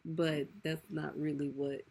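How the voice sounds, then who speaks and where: A young Puerto Rican woman co-hosting a casual livestream with her husband, teasing him with quick interjections. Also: deep voice, flat delivery, vocal fry, warm tone, breathy edge. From Puerto Rico. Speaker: co-host of a married couple's livestream.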